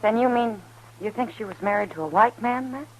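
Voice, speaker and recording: A woman's voice: on the high side, brisk, close to an everyday voice. An actress playing a concerned, sympathetic townswoman in a 1950s radio western. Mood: worried. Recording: noisy 1950s radio broadcast recording.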